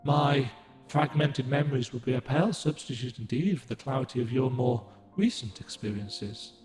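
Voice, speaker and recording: An actor voicing a solemn ancient guardian spirit of the moon in a game, speaking in a formal, archaic, gentle and measured way. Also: male voice, mid-range voice, roomy sound, big-room sound, soft background music, lightly acted. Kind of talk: game cutscene dialogue.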